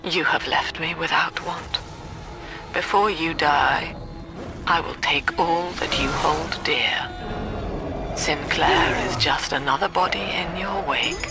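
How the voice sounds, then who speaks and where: Slow-spoken, cold British cult leader. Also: female voice, sits low, over music. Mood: bitter, accusing. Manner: measured, solemn, preachy formal diction. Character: cold, zealous cult-leader mother, controlling and severe. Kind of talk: video game villain monologue.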